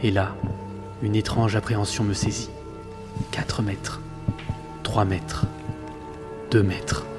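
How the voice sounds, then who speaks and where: A haunted sailor recounts his journal in a low voice, measured and uneasy. He is rational but rattled, in the suspenseful style of audio fiction. Music plays strongly behind him. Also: little pitch movement. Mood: haunted, hushed. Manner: tense, suspenseful, measured storytelling. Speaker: narrator and lead actor of an audio drama. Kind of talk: dramatic first-person narration, audio fiction. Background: dramatic music.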